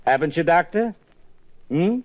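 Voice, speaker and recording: An actor playing a blunt, needling police detective with taunting questions in a 1950s radio drama. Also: male voice, on the high side, expressive, a little slow, dry recording. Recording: dull old 1950s radio recording.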